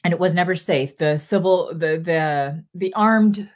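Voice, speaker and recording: Earnest low-pitched woman on a video call. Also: a little slow. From Sri Lanka. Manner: earnest, many fillers, speaks in bursts. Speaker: guest filmmaker. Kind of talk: interview answers. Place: home office with bookshelves.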